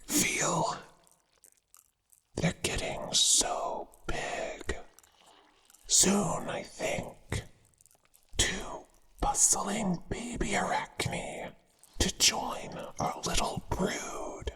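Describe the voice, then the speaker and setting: Slow whispering spider monster lover. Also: medium pitch, exaggerated voice. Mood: tender, coaxing. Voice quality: breathy, hushed whisper. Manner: slow, crooning, deliberate. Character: possessive male spider monster, devoted, menacing lover. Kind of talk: whispered ASMR roleplay acting. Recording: long reverberant echo.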